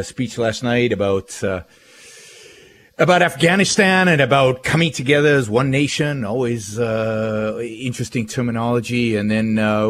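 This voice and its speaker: A middle-aged man with an Israeli accent, talking off the cuff as a radio host in an emphatic way, often repeating phrases. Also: medium pitch. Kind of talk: talk radio monologue.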